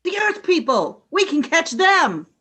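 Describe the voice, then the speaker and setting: A woman acting an excitable young female science fiction character in an amateur radio play. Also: very high voice, measured pace, full character voice. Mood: alarmed.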